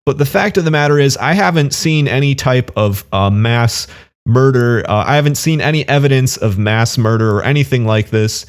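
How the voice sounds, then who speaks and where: A man's voice: mid-range voice, moderate pace. Man ranting dismissively to camera. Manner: argumentative, dismissive, with many fillers and restarts. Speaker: online commentator. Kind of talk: casual reaction commentary.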